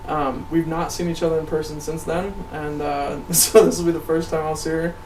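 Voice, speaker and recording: A young American man giving a casual, earnest and somewhat halting introduction to a group as an actor. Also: on the high side, little pitch movement, a little mellow. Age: young adult. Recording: noisy, distant microphone.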